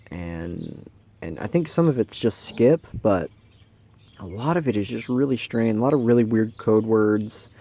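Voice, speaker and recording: Mellow, low-voiced American man, casual narration. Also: vocal fry, no room sound. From Kansas, United States. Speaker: amateur video maker, CB radio newcomer.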